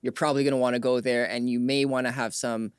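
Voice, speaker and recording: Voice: mid-range voice, little pitch movement, fast talker, smooth, no echo, studio quality. A podcast host speaking conversationally, affirming the guest and introducing segments. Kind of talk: conversational interview hosting.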